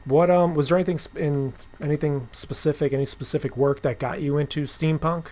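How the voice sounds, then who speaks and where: A man hosting a podcast, chatting casually and haltingly as he rephrases his way through questions and remarks. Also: a little high, steady tone, warm tone. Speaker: podcast host interviewing a writer. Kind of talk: casual conversational interview.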